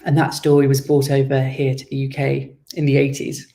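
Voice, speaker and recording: A man giving conversational interview answers as a guest author, explaining things in a measured way. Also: mid-range voice, monotone, vocal fry, mellow.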